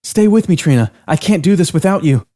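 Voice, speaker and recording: A man voicing a panicked young waitress who is trapped on a restaurant floor and shouting in a high voice. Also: animated, a little fast. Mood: pleading. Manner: frantic high-pitched shouting.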